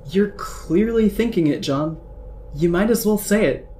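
A performer voicing a smug, scheming alien troll girl in a fan audio drama, talking in a slow, teasing, knowing way. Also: medium pitch, lively intonation, dark tone, natural voice. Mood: calm, probing.

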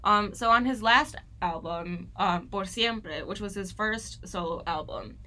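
Young woman co-host, bright and forceful tone, earnest student-style explainer. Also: medium pitch, a little slow. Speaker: podcast co-host. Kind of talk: casual scripted discussion.

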